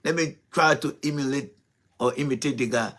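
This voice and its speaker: A man's voice: mid-range voice, normal speed, a bit bright. A man hosting a political commentary show, talking with passion, throwing out rhetorical questions and repeating his points. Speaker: host.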